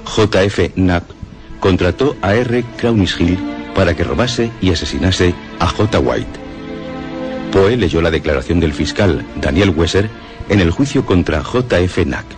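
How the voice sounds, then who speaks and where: A deep-voiced man presents a radio programme. He reads scripted literary narration over clearly audible music. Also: lively intonation, a little slow.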